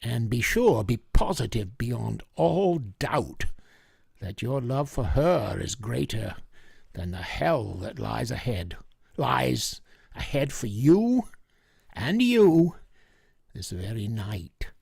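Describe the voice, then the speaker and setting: An actor playing a grave, intense professor who hunts vampires, speaking with solemn emphasis and dramatic pauses in vintage radio style. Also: male voice, medium pitch, sing-song, creaky, a little mellow, husky, dry recording, studio quality, exaggerated voice. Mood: solemn warning.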